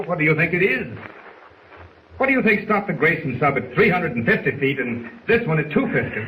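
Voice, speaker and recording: An actor playing an anguished, agitated navy submarine commander in a 1940s radio drama. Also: male voice, on the high side, big pitch swings, fairly quick. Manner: forceful, agitated. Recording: echoey, noisy 1940s radio recording.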